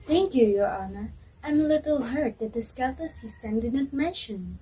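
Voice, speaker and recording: A woman's voice: fairly high pitch, expressive, normal speed, warm tone, slight background noise, lightly acted, rough recording. A woman playing a proud muscular system that testifies and explains its importance in an amateur educational reader's theater play. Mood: hurt, insistent.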